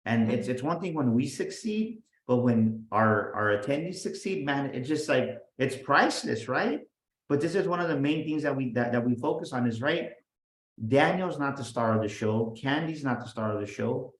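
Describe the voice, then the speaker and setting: Energetic man, roomy echo. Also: mid-range voice, moderate pace. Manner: energetic, emphatic, repeats words as he restarts. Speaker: guest speaker and business coach. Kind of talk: motivational interview talk.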